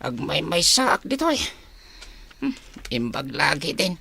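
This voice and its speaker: A raspy, hungry grumbler. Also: medium pitch, sing-song, slow, bright, forceful tone, exaggerated voice. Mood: muttering, hungry. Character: grumbling, hungry comic household member. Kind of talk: comic radio drama acting.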